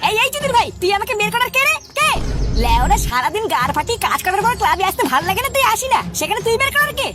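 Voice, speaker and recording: A very high, animated cartoon rascal, crude and taunting. The figure is scornful, excitable and scheming, with loud music behind the comic dialogue. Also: racing. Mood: angry, vengeful.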